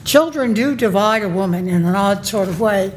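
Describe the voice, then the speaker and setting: A woman giving an academic conference talk, reading from a paper in a measured way with pauses and fillers. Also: medium pitch, expressive, slight background noise. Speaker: conference presenter. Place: conference room.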